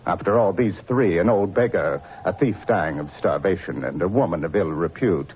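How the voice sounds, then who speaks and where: A man's voice: deep voice, normal speed, narrow, tinny audio, slight background noise, natural voice. An actor playing a mad, vengeful male scientist villain in an old radio play, with a theatrical, gloating delivery and sinister chuckles. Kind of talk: 1940s radio acting.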